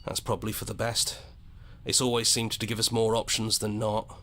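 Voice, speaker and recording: Man voicing a bright, earnest heroic inner voice. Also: on the low side, completely flat delivery, normal speed, natural voice. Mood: wry, reflective. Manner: gentle, earnest, reassuring. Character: earnest, loyal heroic inner voice, supportive companion. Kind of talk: visual novel voice acting.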